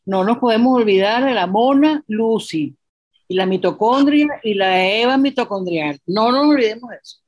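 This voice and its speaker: A woman's voice: a little high, expressive, normal speed, a little mellow, no echo. A woman leading a group discussion over a video call, speaking in a reflective and inviting way.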